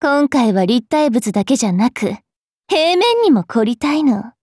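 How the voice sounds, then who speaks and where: Haughty high-voiced vampire fairy girl. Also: sing-song, a little slow, a little mellow, no room sound, clean studio sound, heavily acted. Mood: brash. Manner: brash, teasing, rough-spoken. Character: haughty, mischievous young female vampire fairy priestess. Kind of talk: anime game character voice lines.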